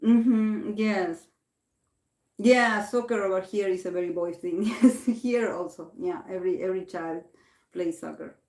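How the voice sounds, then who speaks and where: A woman's voice: mid-range voice, a little slow, darkish tone. A woman from Argentina, a native Spanish speaker, chatting casually in English as host of a livestream, with many restarts. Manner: chatty, many restarts, reading comments aloud. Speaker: tarot reader host. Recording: roomy echo.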